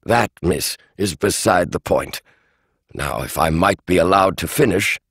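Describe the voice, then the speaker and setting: Formal elderly lawyer, read by a man, deep voice, precise delivery. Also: lively intonation, measured pace, fairly bright tone, breathy edge, no room sound, heavily acted. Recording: dry studio audiobook.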